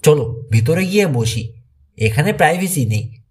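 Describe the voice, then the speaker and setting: A man's voice: a little high, very animated, normal speed, a little mellow, slightly rough. A man voicing a clever, cool-headed teenage boy detective who talks decisively in an audiobook. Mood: calm planning.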